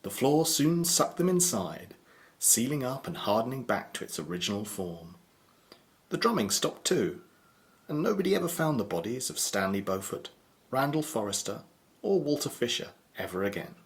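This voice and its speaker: Expressive, grave horror storyteller. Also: male voice, medium pitch, normal speed. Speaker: narrator. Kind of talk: scripted dramatic story narration.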